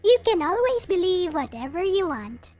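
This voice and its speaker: A woman's voice: extremely high pitch, very animated, normal speed, a little mellow. A woman hosting a livestream, chatting casually to viewers and replying to their comments. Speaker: livestream host. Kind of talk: casual livestream chat showing collectible figures.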